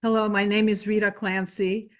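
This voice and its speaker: A woman's voice: mid-range voice, moderate pace. A woman from Ukraine giving a composed dedication at a remembrance ceremony. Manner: even and composed. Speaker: candle lighter at a remembrance ceremony.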